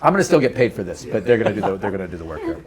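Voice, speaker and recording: A man's voice: on the low side, very animated, rapid-fire, a crowd in the background. A man hosting a casual interview, joking and interrupting himself as he goes. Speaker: host.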